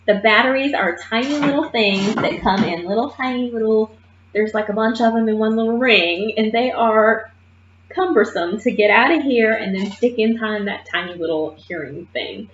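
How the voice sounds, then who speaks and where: A woman's voice: fairly high pitch, moderate pace, slight background noise. Woman, nursing assistant instructor, emphatic classroom lecture, keeps checking in with "okay?"; muffled, echoey room sound. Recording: muffled roomy classroom recording.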